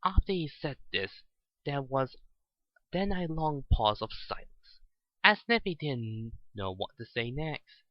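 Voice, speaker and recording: A man's voice: mid-range voice, sing-song, slow pace. A man narrating a story as an amateur reader, often stumbling and repeating his phrases. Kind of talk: dramatic reading of fan fiction. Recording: echoey, slightly muffled home recording.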